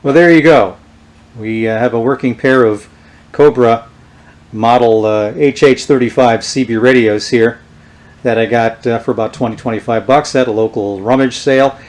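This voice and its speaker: A man presenting an unscripted show-and-tell demonstration in a halting, unhurried way. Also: medium pitch, darkish tone. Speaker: presenter showing vintage radio gear.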